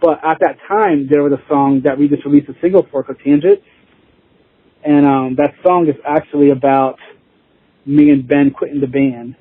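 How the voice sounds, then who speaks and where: A man chatting casually as an interview guest, informal and rambling, with a husky voice. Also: fairly high pitch, steady tone, moderate pace, no room sound. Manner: informal, rambling, chuckling easily. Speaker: interview guest, band musician. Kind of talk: casual chat. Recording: tinny phone-like line.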